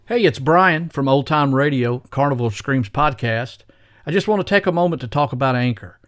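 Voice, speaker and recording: A man's voice: medium pitch, animated, fairly quick, fairly bright tone, no room sound, clean studio sound. A man hosting a podcast, reading scripted introductions and promotional copy. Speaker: podcast host. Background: faint music.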